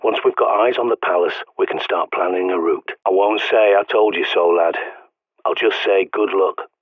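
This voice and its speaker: Game role: a husky, low-voiced British prepper on the radio, blunt, cynical, profane. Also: male voice, normal speed, no room sound. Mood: gruff. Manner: sardonic, blunt, swears often. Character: gruff male survivalist radio guide, cynical. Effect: radio transmission. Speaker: radio guide character. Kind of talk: video game voice acting. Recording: tinny radio line.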